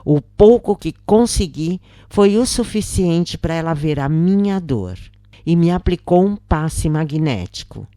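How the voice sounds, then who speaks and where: A woman's voice: sits low, expressive, measured pace, dark tone, dry recording, studio quality. A woman narrating a scripted first-person story in a dramatic storytelling style.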